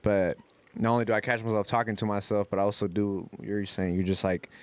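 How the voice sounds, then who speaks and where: A man's voice: fairly low pitch, fairly even intonation, fast talker, clear, resonant tone. A man telling stories in a casual podcast chat, rambling and swearing freely in a slangy way. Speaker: podcast co-host.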